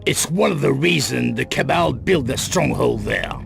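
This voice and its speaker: An actor playing a grim, guarded middle-aged crime boss in a video game cut-scene, with a gravelly voice and grave, deliberate delivery. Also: male voice, medium pitch, lively intonation. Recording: loud music under the voice.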